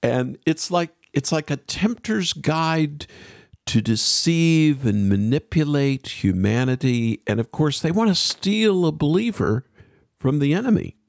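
A male radio host with a lively, wide-ranging delivery and some gravel in his voice. He interviews in an emphatic, effusive manner, recorded in a dry studio sound. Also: on the high side, measured pace, dry recording.

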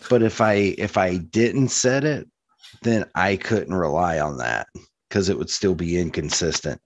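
A man with a slightly low voice, recorded dry and close. He chats casually as a podcast co-host, in colloquial language with some mild swearing. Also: measured pace, no echo. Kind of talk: casual chat.

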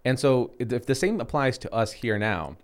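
A man's voice: medium pitch, animated, normal speed. A man in his thirties co-hosting a casual chat, rambling with many restarts and fillers. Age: 30s. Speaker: podcast co-host.

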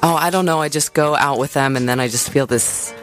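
A woman's voice: deep voice, a little fast. Woman chatting casually over background music. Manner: informal, thinking aloud. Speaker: singer-songwriter guest. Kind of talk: casual interview chat.

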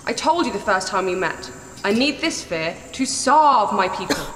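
An actress playing a resolute, commanding warrior daughter with a stern, measured delivery in a sci-fi audio drama. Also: female voice, mid-range voice, very animated, fairly bright tone. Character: resolute warrior daughter defying her tyrant father. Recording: echoey hall reverb, faint noise.

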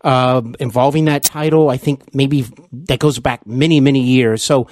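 A man's voice: medium pitch, fairly even intonation, moderate pace, darkish tone, dry recording, studio quality. A man hosting a conversational interview in an effusive, encouraging way. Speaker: podcast interview host.

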